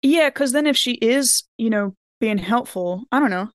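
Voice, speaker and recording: A woman's voice: a little high, animated, normal speed, no echo, studio quality. A woman chatting informally as a player in a tabletop role-playing game, her talk peppered with "like". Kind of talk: casual chat during a game.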